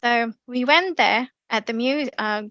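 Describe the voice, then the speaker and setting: A young woman with an Indonesian accent, giving a lively online class talk with many ums and restarts. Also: on the high side, a little slow, dry recording, lo-fi sound. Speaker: community speaking presenter. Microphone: computer mic on a video call.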